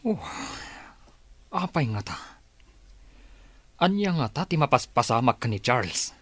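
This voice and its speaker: An irritated schemer in a Filipino radio drama. The voice is husky and swings freely in pitch, recorded close and dry, with a Filipino accent. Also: male voice, big pitch swings, unhurried, fairly bright tone, no echo, heavily acted. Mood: agitated.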